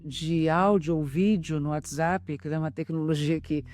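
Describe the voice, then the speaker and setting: A woman's voice: deep voice, steady tone, moderate pace, darkish tone. A Brazilian woman, a radio drama writer, explaining her work in an interview.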